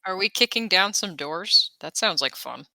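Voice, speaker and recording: Very bright-voiced woman bantering. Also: lowish voice, animated, normal speed, vocal fry, dry recording. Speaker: tabletop game player. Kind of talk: casual banter.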